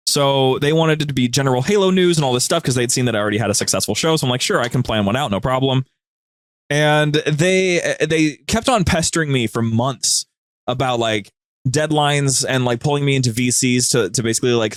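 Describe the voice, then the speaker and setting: A man with a mid-pitched voice tells stories as a podcast guest. He is informal and conversational, wandering through his anecdotes. The sound is dry, with little room around it. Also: dry recording. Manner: rambling, informal, conversational storytelling. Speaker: podcast guest, show producer. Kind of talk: casual anecdote-telling in an interview.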